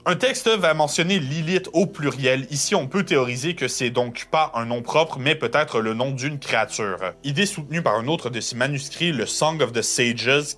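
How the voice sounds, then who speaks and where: A man's voice: medium pitch, a bit bright. Casual, wry man narrating. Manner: colloquial, wry. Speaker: video essayist. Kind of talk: scripted narration, casual storytelling with slang.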